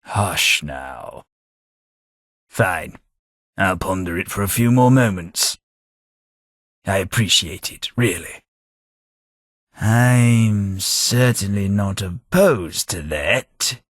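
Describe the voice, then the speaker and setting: An actor playing a melancholy, formal old British former taxman in a video game, husky and measured in his wordy speech. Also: sits low, lively intonation, a bit bright, no room sound, clean studio sound, exaggerated voice. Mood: polite, hesitant. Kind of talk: video game character dialogue.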